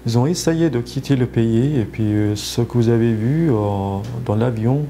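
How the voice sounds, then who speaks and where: A man in his thirties from Afghanistan speaking quiet, hesitant in a documentary interview. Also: mid-range voice, normal speed, slight background noise. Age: 30s. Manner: quiet, hesitant non-native speech.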